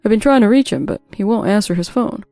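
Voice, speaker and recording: A woman's voice: medium pitch, fast, dark tone, dry recording, clean studio sound, soft background music, natural voice. A woman voicing a polite, stern older father-in-law and boss in a scripted audio story. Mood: polite, concerned.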